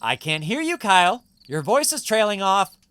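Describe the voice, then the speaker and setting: An actress playing a feisty, sharp-tongued young female adventurer in a video game, with a squeaky, brash, teasing voice. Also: big pitch swings, normal speed, smooth. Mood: loud, indignant.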